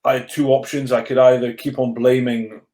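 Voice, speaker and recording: A man's voice: mid-range voice, little pitch movement, moderate pace, dark tone. Man with a controlled stammer. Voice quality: stammer, mostly under control. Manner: earnest and reflective, frequent restarts and repeated words. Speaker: podcast guest, rugby player turned coach. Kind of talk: candid personal storytelling in conversation. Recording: echoey room.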